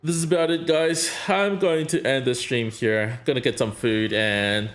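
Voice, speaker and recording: A man's voice: medium pitch, steady tone, normal speed, fairly bright tone, soft background music. A man streaming a mobile game, chatting casually and answering his chat in a rambling way. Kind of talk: casual live-stream chat while gaming.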